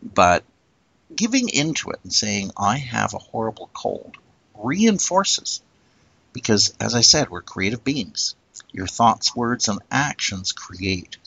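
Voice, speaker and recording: Gravelly, husky man in earnest talk. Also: lowish voice, very animated, pushed and bright, no room sound, low-quality recording. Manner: earnest, reflective, slows down to stress points. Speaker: podcast co-host. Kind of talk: casual discussion.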